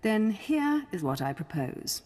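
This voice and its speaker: A woman playing a cold, imperious, contemptuous noblewoman in a video game, with a husky voice. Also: medium pitch, big pitch swings, a little slow, a little mellow, long reverb tail. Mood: scornful, bored.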